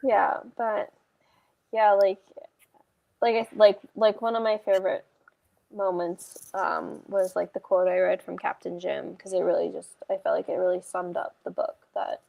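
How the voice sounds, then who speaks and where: Hesitant woman with a creaky voice. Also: on the low side, flat delivery, normal speed, a little mellow, dry recording. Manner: hesitant, rambling, frequent "like". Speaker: book club livestream host. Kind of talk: casual book discussion chat. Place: indoors on a video call.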